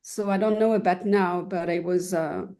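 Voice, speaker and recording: Halting older woman, mellow interview voice. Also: fairly low pitch, fairly even intonation. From Switzerland and France. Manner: halting, searching for words. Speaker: artist guest being interviewed. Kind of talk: reflective casual interview answers.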